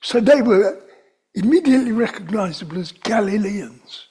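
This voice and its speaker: A man's voice: high-pitched, lively intonation, normal speed, a little mellow. An older man with a London accent, preaching a sermon in a conversational, digressive way that rises to emphatic stresses. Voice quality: very breathy, often whispery.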